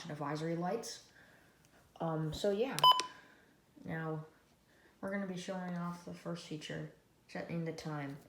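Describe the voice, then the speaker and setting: An American woman giving a casual hands-on product demonstration, halting and often trailing off to restate herself. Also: sits low. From United States. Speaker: product reviewer. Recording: echoey room, loud noise, clicks.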